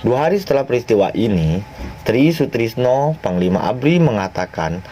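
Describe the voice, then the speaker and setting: A man narrates a history documentary from a script, his mellow voice rising and falling in a lively way. He keeps a measured delivery and slows down on names and dates. Faint noise sits under the voice. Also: mid-range voice.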